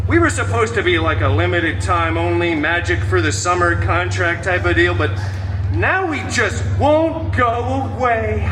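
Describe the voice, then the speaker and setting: A man's voice: sits high, background noise. A man doing a hammy wisecracking antihero. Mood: teasing. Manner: sarcastic, theatrical, fourth-wall jokes. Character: wisecracking masked male antihero, snarky and hammy. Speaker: live stage show performer. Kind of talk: live theme park storytime show. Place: outdoor theme park stage. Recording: distant mic, roomy, music behind.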